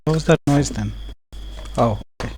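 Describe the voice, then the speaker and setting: A man's voice: medium pitch, moderate pace, background noise. A man giving casual gameplay commentary as a gaming YouTuber, in short offhand reactions.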